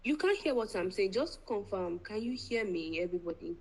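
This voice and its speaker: A woman's voice: medium pitch, expressive, fairly quick, a little mellow, rough recording. A woman with a Nigerian accent hosting a live online event in an effusive, playful and grandiose manner. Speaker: event host.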